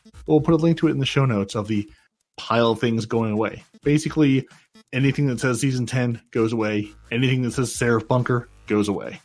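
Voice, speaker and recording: A man's voice: mid-range voice, a little fast, a little mellow, soft background music. A man co-hosting a casual gaming podcast, chatty and self-mocking, often repeating his words as he reads out news. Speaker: podcast co-host.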